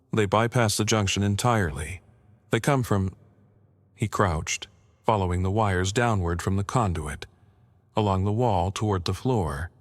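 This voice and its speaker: A man reading a scripted first-person horror story as narrator, in a measured, matter-of-fact way with short clipped sentences. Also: lowish voice.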